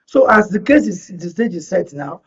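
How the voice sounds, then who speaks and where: A man's voice: high-pitched, lively intonation, moderate pace, fairly bright tone, breathy edge, recorded from a distance, highs cut off. A man with a Nigerian accent debating politics as a studio guest, emphatic and rhetorical, often repeating phrases to press his point.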